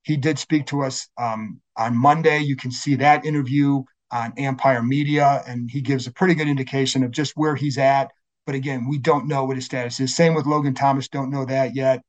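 A man's voice: medium pitch, fairly even intonation, moderate pace. A man with a scratchy voice hosting a sports podcast, talking casually and correcting himself as he goes. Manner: conversational, self-correcting, many fillers. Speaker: podcast host. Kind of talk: solo sports podcast intro.

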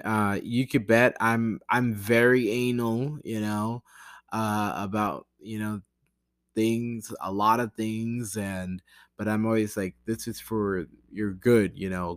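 An American man with a fairly low voice chats informally in a dry-sounding recording. He rambles and breaks off mid-thought, circling back with plenty of "like" and "you know" as he goes. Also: fairly bright tone, dry recording. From United States. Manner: halting, self-interrupting, with many "like" and "you know" asides. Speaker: language learner vlogger. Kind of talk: casual rambling talk to camera.

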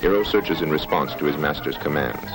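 A man giving formal, measured narration in an old archival documentary clip. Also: very deep voice. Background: music.

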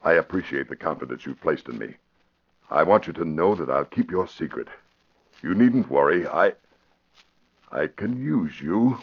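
An American actor playing a blustering, excitable middle-aged police commissioner in 1940s radio style, given to exclaiming and stammering. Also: male voice, rumbling low, moderate pace, pauses often, breathy edge, full character voice. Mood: grateful, eager. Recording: dull vintage radio recording.

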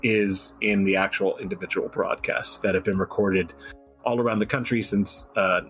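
A man's voice: sits low, steady tone, moderate pace, fairly bright tone, faint music behind, low-quality recording. A man talking casually about his own writing in a joking, self-deprecating way. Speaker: guest writer on a fiction stream.

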